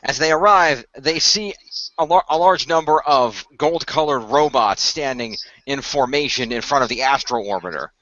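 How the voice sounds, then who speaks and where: A man's voice: medium pitch, normal speed, bright, forceful tone, dull audio. A man improvising narration and in-character lines for a hobby radio play.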